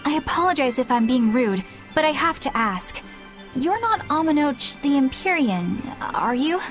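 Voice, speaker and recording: A woman's voice: high voice, sing-song, moderate pace, faint music behind. An actress playing an earnest, polite, dutiful young woman in a fantasy game, careful and formal in speech. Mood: tentative. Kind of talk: English game voice acting.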